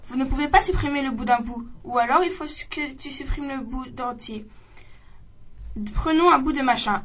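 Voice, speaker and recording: A pedantic stage artist, exasperated and lecturing the audience in a comic radio monologue, played by a woman. The voice is high. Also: a bit bright, a little hiss or hum.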